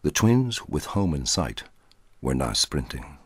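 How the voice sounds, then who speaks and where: A middle-aged man reading a short story aloud in a measured, dramatic way, with a husky voice. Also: a bass voice, big pitch swings, no echo. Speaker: audiobook narrator.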